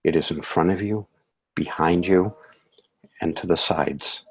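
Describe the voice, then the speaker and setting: A man leading a guided meditation in a soothing, measured way, with calm phrasing and gentle affirmations. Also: deep voice, breathy edge, low-quality recording.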